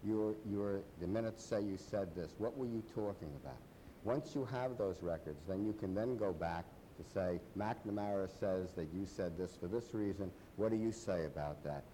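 An older man with a low voice, a biographer explaining his research in a measured way during a panel discussion. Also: mellow, a little hiss or hum, rough recording. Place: large hall.

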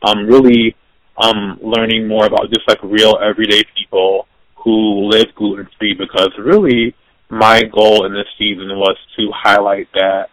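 Man, podcast host, low voice, dry close sound, lots of ums and restarts. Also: level tone, normal speed, fairly bright tone, dry recording, rough recording. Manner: rambling with many fillers and restarts. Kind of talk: casual interview.

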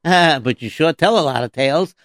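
A male storyteller hosting a children's story show, patiently and dryly teasing a sidekick who keeps interrupting. His voice is bright and moves a lot in pitch. The recording is close and dry. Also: animated, a little fast, no echo. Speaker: storyteller host.

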